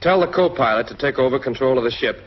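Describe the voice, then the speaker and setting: American male narrator, 1940s military training film, mid-pitched, instructive, direct address; dull, hissy vintage soundtrack. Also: lively intonation, fast talker.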